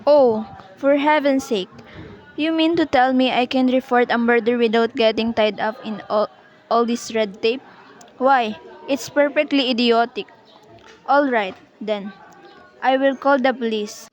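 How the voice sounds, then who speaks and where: Woman acting anxious, high-pitched, imperious lady. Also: unhurried, pauses often, close to an everyday voice. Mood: indignant, exasperated. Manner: insistent, fretful, indignant. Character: anxious, imperious, easily exasperated married lady on telephone. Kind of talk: amateur radio play acting. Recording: noisy amateur recording.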